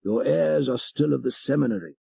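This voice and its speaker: A man voicing a fervent French missionary priest telling his tale with emphatic, ironic pauses, as in a dramatic audiobook. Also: fairly high pitch, vocal fry, warm tone, slightly rough, dry recording, pitched above the performer's own voice, full character voice. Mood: earnest. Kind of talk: dramatic first-person storytelling in an audiobook.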